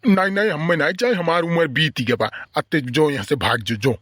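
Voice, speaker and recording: A man's voice: a little high, a little fast, fairly bright tone, no echo, studio quality. A man voicing a grumpy, irritable old village uncle in an Awadhi comedy cartoon, scolding and complaining in an Awadhi accent. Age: older.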